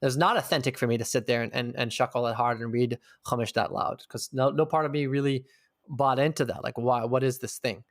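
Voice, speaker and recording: A man's voice: mid-range voice, steady tone, fairly quick, dry recording, studio quality. A man being interviewed, talking in a thoughtful, searching way and swearing casually now and then. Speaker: interview guest. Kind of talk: reflective conversational interview.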